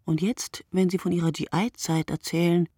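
A woman performing a reflective, sleepless narrator in a literary radio monologue, speaking in measured short phrases. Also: on the low side, little pitch movement, warm tone, husky, dry recording, studio quality.

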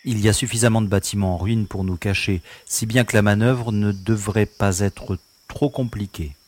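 A man's voice: fairly low pitch, fairly even intonation, moderate pace, voice pushed down, lightly acted. A man reading as a wry veteran pilot. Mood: planning. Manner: dry, mocking banter. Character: wry, teasing male starship pilot, seasoned.

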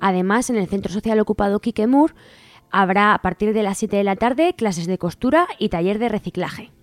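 A woman's voice: mid-range voice, animated, moderate pace. A woman with an accent from Spain, hosting a radio show and informally reading out event announcements. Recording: music playing behind the voice. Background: music.

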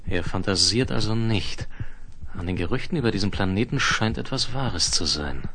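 An actor playing a grave, brooding doctor in a sci-fi radio play, sober and matter-of-fact. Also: male voice, fairly low pitch, animated, normal speed, pushed and bright. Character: male space-clinic doctor, grave, brooding, businesslike. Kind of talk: 1970s German sci-fi radio play acting. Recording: faintly hissy 1970s vinyl recording.

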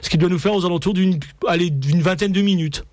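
A man's voice: high-pitched, quick pace, darkish tone. A man hosting a radio show and interviewing in a casual, improvised, slightly hesitant way. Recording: clear background noise and ambience.

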